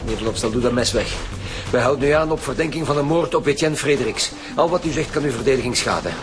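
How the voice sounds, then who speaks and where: An actor playing a commanding, gruffly informal police team leader in a radio drama, with a Flemish accent. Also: male voice, mid-range voice, fast talker, over music, natural voice. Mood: firm, urgent. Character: commanding male police team leader, grumbling but good-natured.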